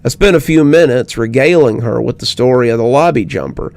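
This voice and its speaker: A man narrating a scripted first-person horror story in a measured storytelling manner. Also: mid-range voice, gravelly, a little mellow.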